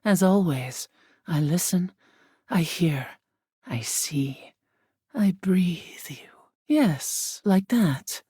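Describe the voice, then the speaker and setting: A woman voicing an ancient, aloof female spirit of air in a video game, with a husky, breathy voice that often sinks to a whisper and a solemn, formal delivery. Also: on the low side, lively intonation, drawn-out words, pauses often, dark tone, dry recording, studio quality, heavily acted. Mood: hushed, sensual. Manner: languid, imperious, solemn, formal diction. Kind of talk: video game character acting.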